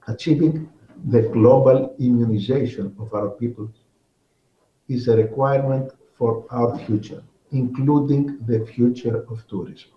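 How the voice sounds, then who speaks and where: A man from Greece, delivering a measured, formal prepared speech as a conference speaker over a remote link. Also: mid-range voice, roomy sound, lo-fi sound. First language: Greek. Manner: measured, deliberate, with occasional repeated words.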